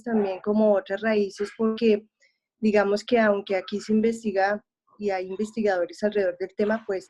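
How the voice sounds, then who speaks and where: A Colombian woman with a Bogotá accent, speaking slowly and hesitantly with many fillers on a video call. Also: mid-range voice, mellow. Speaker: visual artist, audience member.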